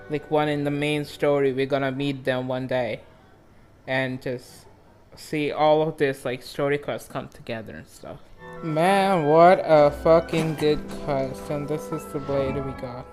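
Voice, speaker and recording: A man giving casual, excitable and rambling reaction commentary on a video game in an informal way. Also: fairly high pitch. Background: game music.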